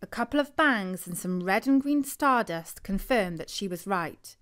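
A woman's voice: mid-range voice, animated, moderate pace, a bit bright. A woman with a British accent narrating a scripted bedtime story for children.

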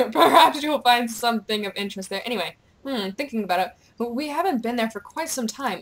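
A young woman chatting casually while gaming, excitable and playful, with goofy exclamations and bits of on-screen text read aloud. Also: fairly high pitch. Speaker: gamer, video creator. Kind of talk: casual gameplay chat, reading quest captions aloud.

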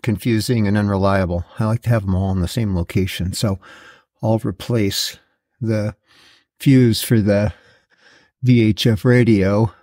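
A middle-aged man narrating a hands-on how-to in a deliberate, matter-of-fact way. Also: lowish voice, steady tone, warm tone. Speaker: host of a DIY boat install video.

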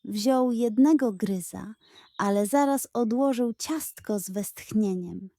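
A woman's voice: mid-range voice, slow pace, warm tone, dry recording, clean studio sound. A woman narrating a scripted children's bedtime story as a storyteller.

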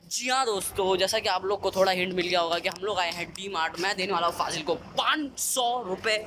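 A teenage boy hosting a casual vlog, chatty and hurried as he talks to viewers from a phone held at arm's length. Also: high-pitched, noisy background. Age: teens. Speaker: vlogger host. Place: streets at night and a supermarket.

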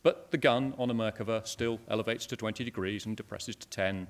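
A man's voice: fairly low pitch, fairly quick. A man, giving a matter-of-fact conference talk from a lectern in a lecture hall, with dry asides to the audience. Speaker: conference speaker. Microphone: lectern microphone.

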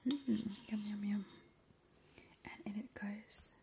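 A woman's voice: medium pitch, level tone, takes it slowly, dark tone, a little hiss or hum, close to an everyday voice. A woman voicing a gleeful, ghoulish vampire in an ASMR roleplay, relishing her words and repeating them soothingly. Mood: relishing, matter-of-fact. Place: indoor kitchen counter.

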